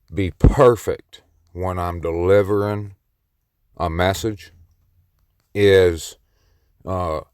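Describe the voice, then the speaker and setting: Male vlogger, low and unhurried, clear close dry voice, cocky rambling brag. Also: darkish tone, dry recording. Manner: boastful and playfully cocky; slow, meandering delivery. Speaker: solo vlogger on the mic. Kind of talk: rambling self-recorded monologue.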